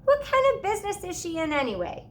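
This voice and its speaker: Storybook little boy voiced by a woman: exuberant, curious, pitched way up. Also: wildly swooping pitch, fast, a little hiss or hum, heavily acted. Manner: exuberant, inquisitive. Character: Chris, loud curious little brother.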